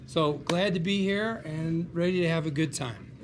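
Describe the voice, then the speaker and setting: A man with a gravelly voice making casual, joking remarks to a group. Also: on the high side, normal speed. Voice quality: gravelly, creaky. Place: room with a group at a table read. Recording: clear room noise.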